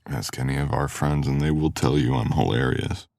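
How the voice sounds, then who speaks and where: A man's voice: extremely low pitch, steady tone, moderate pace, creaky, darkish tone, no room sound, studio quality. A man playing a teasing, caring male best friend in an intimate boyfriend ASMR audio roleplay, with playful grumbling.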